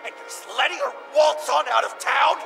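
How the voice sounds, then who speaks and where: An actor voicing a manic, indignant clownish villain who believes himself a hero, with theatrical, emphatic delivery in a video game. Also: male voice, extremely high pitch, brisk, creaky, sharp tone, far from the mic, soft background music, full character voice. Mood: outraged.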